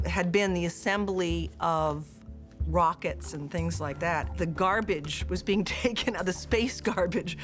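A woman's voice: fairly low pitch, measured pace. A woman telling anecdotes in a behind-the-scenes film interview, repeating words for emphasis. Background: music.

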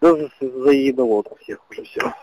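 A man's voice: mid-range voice, normal speed, a little mellow, a little hiss or hum. Weary, swearing man on the phone. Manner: weary, profane. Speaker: soldier. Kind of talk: casual phone chat. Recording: intercepted phone call.

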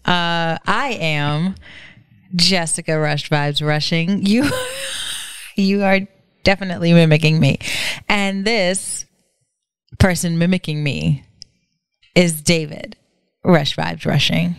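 A young woman co-hosts a relaxed podcast from home, chatty and animated. Her voice has a slight gravel and a smooth, resonant edge. She sits close to a desk mic in a dry room. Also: on the low side, takes it slowly, long pauses, no echo. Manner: chatty, laughs often, animated. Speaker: podcast co-host. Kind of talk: casual chat. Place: home living room by a staircase. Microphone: studio mic on a desk stand, headphones on.

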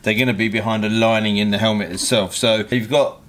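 A man's voice: lowish voice, steady tone, a little fast, fairly bright tone. A man giving a hands-on product review in a practical, matter-of-fact, explanatory way. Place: indoor motorcycle workshop bench.

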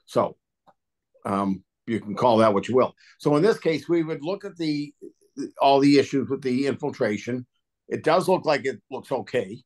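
A man's voice: medium pitch, lively intonation, normal speed, darkish tone. A man with a mid-range voice speaks at a virtual public hearing. He explains technical review work informally, in plain colloquial language, as an official would. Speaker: water and sewer agency official at a hearing. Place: remote video call.

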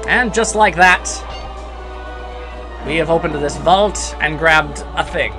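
A man's voice: a little high, slow. A man doing casual, jokey let's play commentary in a sarcastic, mock-grandiose manner. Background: game music.

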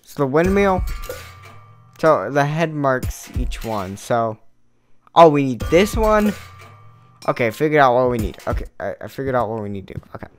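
A hoarse young man rambles through a game, thinking aloud and puzzling things out in a worn, tired voice. Game music plays clearly behind him. His pitch sits in an ordinary middle range. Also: very animated, moderate pace, long pauses. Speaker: let's play host. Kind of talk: casual gameplay commentary.

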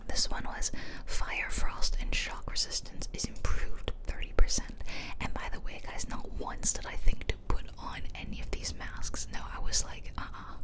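A woman giving playful, chatty ASMR gameplay commentary in a whisper, close to the microphone. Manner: playful, chatty, self-amused. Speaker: game streamer and ASMR host. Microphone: close ear-to-ear microphone.

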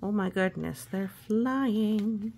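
Creaky-voiced woman reading to children. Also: mid-range voice, slow. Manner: expressive, hushed suspense, often dropping to a whisper. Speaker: storybook reader. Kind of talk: children's read-aloud with gentle asides.

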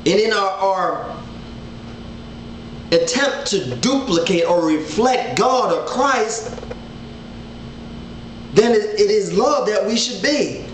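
A man's voice: high voice, animated, slow, long pauses, a little hiss or hum. A man in his thirties giving a casual Bible study talk to an online audience from his living room, earnest and full of gesture, often repeating words as he gathers his thoughts. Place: living room at home, indoors. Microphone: webcam at desk distance. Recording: roomy home webcam audio.

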